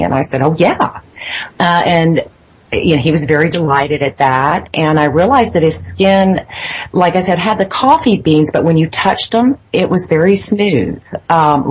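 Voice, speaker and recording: Woman, interview guest, low voice, husky, hesitant, many restarts, phone interview. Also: poor audio. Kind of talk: phone interview, personal account. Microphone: phone line.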